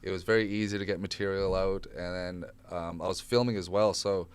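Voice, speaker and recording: A man's voice: deep voice, brisk, clear, resonant tone. A man in his thirties, a comedian guest, telling rambling stories in casual chat and swearing freely. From Canada. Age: 30s. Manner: rambling, animated, swears often. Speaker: stand-up comedian, podcast guest.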